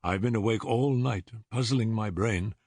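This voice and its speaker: Husky, earnest ex-soldier client played by a man. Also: lowish voice, measured pace, darkish tone, dry recording, clean studio sound. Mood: anxious, pleading. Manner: blunt, earnest, agitated. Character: big, hearty young male ex-soldier client, bewildered and earnest. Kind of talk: audiobook character dialogue.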